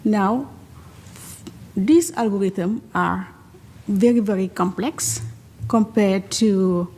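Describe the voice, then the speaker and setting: A woman from Senegal, a mathematician on a conference panel, speaking in a slow, measured way with many pauses. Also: medium pitch, dark tone. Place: large conference hall. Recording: reverberant hall with faint ambience.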